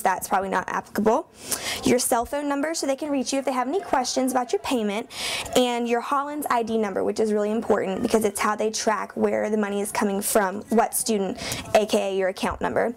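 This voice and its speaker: A young woman explaining things step by step as a student news anchor. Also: a little high. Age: young adult. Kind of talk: explanatory presenting on a student newscast.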